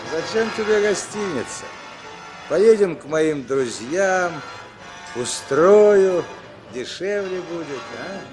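A high, swooping male voice plays a pushy false friend in an old radio drama. He coaxes slowly and insistently, with loud music behind him. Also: expressive, rough recording. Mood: coaxing. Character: pushy, falsely friendly man coaxing an acquaintance. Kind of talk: 1960s Soviet radio play acting.

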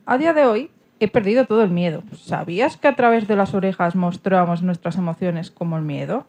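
A woman's voice: on the low side, a little fast, darkish tone. A woman from reading a scripted narration of an animal's life story. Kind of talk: scripted narration, partly in an animal's first person. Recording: dull, lo-fi sound.

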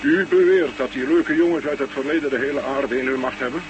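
An actor playing an easygoing, plain-spoken Russian space station commander in a Dutch radio play. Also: male voice, medium pitch, fairly even intonation, brisk, lo-fi sound, natural voice. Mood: musing, unhurried. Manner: colloquial, musing, folksy. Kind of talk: Dutch science fiction radio play acting. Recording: noisy old radio recording with hum.

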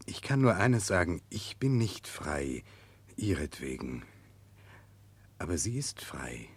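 A man's voice: sits low, very animated, slow, breathy edge, full character voice. A man playing an earnest, dutiful prince in a 1960s German radio drama, grave and hesitant in his speech. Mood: dutiful, resigned. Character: Russian prince, earnest, dutiful, burdened by conscience.

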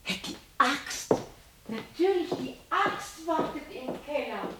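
An actress playing a lonely, bitter widow of sixty in a radio play, talking to herself in a husky, creaky voice that often drops to a whisper, scolding and questioning herself. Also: female voice, sits high, animated, slow, very noisy. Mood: dismissive, restless. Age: 60s. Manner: talks to herself, scolding and questioning, abrupt shifts.

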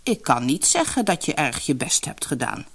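A woman's voice: rumbling low, big pitch swings, moderate pace, vocal fry, a bit bright, slightly rough, exaggerated voice. A woman voicing a stern, scornful old woman who guards a castle in a fairy tale, commanding and mocking.

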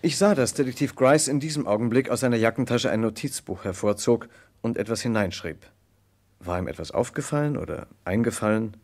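A man playing the observant narrator of a German radio crime play, telling the story in a measured, literary way. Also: medium pitch, a little mellow, natural voice. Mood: measured storytelling. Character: observant male first-person narrator of a detective story.

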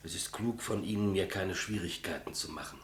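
Man playing a low-voiced, polite old executioner. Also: brisk, a little hiss or hum, lightly acted. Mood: businesslike probing. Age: older. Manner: deferential, plain, businesslike. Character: elderly male state executioner, polite, clumsy, matter-of-fact. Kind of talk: 1950s German radio play acting.

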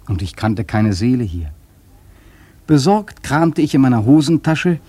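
An actor playing a brooding, wry sailor who narrates in a reflective, dramatic radio-play monologue, with a husky voice. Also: male voice, medium pitch, warm tone, no echo. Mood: gloomy storytelling. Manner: reflective, dramatic storytelling with deliberate emphasis.

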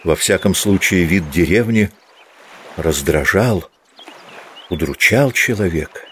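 A man's voice: low voice, lively intonation, slow pace, a bit bright, slight background noise. A man reading literary prose as a scripted audiobook narration.

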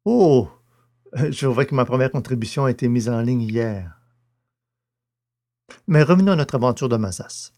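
A man's voice: mid-range voice, animated, normal speed, long pauses, darkish tone. A man hosting a podcast and narrating, with a scripted, storytelling delivery.

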